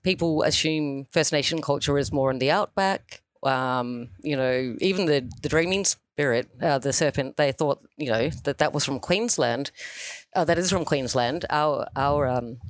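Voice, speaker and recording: A woman's voice: sits low, normal speed, no echo. A woman answering interview questions as a teacher, in an earnest and thoughtful way. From New Zealand.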